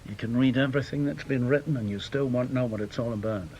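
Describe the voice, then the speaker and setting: A man's voice: mid-range voice, quick pace, a little mellow, slight background noise, lightly acted, rough recording. An actor playing a bitter, blunt veteran British intelligence man in a radio drama, with an emphatic, scornful manner. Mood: grave, emphatic.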